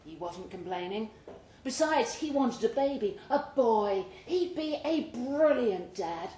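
A woman reading fiction aloud at a live event in an expressive storytelling style. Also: fairly high pitch, takes it slowly. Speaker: short story reader at a live literary event. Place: indoor pub event room. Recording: roomy pub recording with faint noise.

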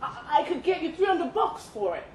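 A woman's voice: sits high, very animated, moderate pace, distant mic, voice pushed up. A woman voicing an ailing, persuasive father in a story, coaxing and needy as she presses his case. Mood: urgent, pushy. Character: ailing, wheedling, persuasive father of a young boxer. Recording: roomy live venue, some background noise.

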